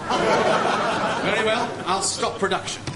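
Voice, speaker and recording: An actor playing an earnest, theatrical, easily distracted middle-class sidekick in a radio sitcom. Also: male voice, sits high, steady tone, takes it slowly, a loud crowd, heavy background noise. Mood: alarmed.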